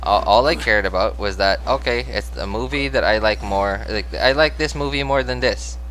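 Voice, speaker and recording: A man's voice: on the low side, normal speed, a bit bright, a little hiss or hum, low-quality recording. A young man from the Philippines, chatting casually and apologetically with viewers as a game livestreamer, rambling and self-deprecating.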